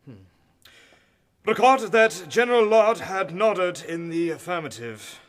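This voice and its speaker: Higher-pitched, formal male coroner, acted. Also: a little slow. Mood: official, probing. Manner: formal, probing. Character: brisk, formal male coroner questioning a witness. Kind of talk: radio play acting.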